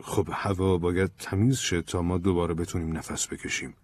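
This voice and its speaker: A blunt, indignant man demanding justice, with a very deep, husky voice, as the narrator voices him. His delivery is dry and close. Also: steady tone, darkish tone, dry recording. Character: angry young man seeking justice.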